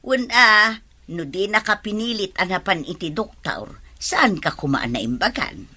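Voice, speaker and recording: A woman's voice: medium pitch, animated, measured pace, pushed and bright. A woman playing a concerned, chiding village wife in an Ilocano radio drama.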